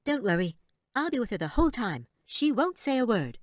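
A man voicing a tiny, cheerful female fairy in a storybook, bright and reassuring. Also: very high-pitched, very animated, brisk, dry recording, studio quality, pitched above the performer's own voice.

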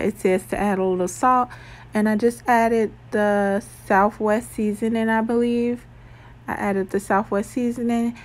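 A woman's voice: medium pitch, a little mellow. A young adult woman talking informally and chattily, as in a casual cooking vlog. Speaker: vlogger.

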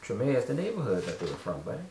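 Echoey dad reading a storybook aloud. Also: male voice, lowish voice, huge pitch swings, quick pace, mellow, slight background noise. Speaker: father reading a storybook with his children. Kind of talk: casual read-aloud to children. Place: carpeted room in a home, indoors. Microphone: distant camera microphone. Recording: roomy echo from a distant camera.

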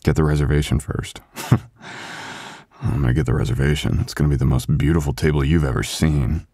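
A man playing a sweet, apologetic, devoted young husband in an intimate boyfriend ASMR roleplay, with a halting, tender, self-deprecating delivery. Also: rumbling low, fairly even intonation, dark tone, husky, dry recording, clean studio sound, full character voice. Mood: tender, reassuring.

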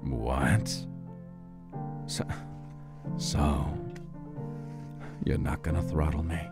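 A man acting a hot-tempered, guilt-ridden gangster brother in an audio drama, speaking haltingly and repeating words. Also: a bass voice, steady tone, gravelly, music in the background, full character voice. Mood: remorseful.

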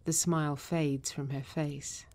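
A woman narrating a drama script, reading stage directions in a measured, matter-of-fact way. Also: low voice, flat delivery, darkish tone.

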